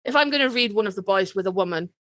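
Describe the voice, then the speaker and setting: A woman's voice: mid-range voice, animated, racing, a bit bright, dry recording, clean studio sound. A woman co-hosting a podcast, chatting casually in a wry, blunt, joking way. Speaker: podcast co-host. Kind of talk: casual chat.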